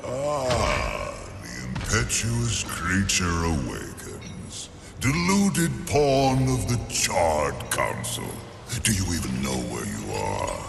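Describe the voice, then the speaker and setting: An ancient lord of the underworld for a fantasy game. The voice is gravelly and sweeps widely in pitch, grand and full of disdain. Long cathedral-like reverb surrounds it. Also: male voice, huge pitch swings, loud music behind the voice, full character voice. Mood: scornful, menacing. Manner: grandiose, measured, contemptuous. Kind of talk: theatrical fantasy game cutscene acting.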